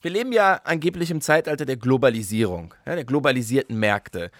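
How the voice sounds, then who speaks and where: An actor playing a sceptical, wry radio interviewer who probes with articulate questions in a radio play. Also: male voice, medium pitch, expressive, fairly quick, dry recording, studio quality, natural voice. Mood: inquisitive, wry. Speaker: radio host.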